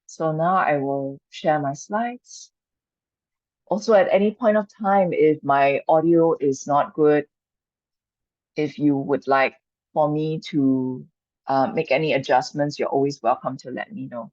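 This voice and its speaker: A woman leads an online qigong workshop in a gentle, reassuring, inviting way. Her is Chinese. The video call audio sounds dull and dry, with no room around her voice. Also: sits low, unhurried, no room sound. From Singapore. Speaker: mindfulness and qigong coach.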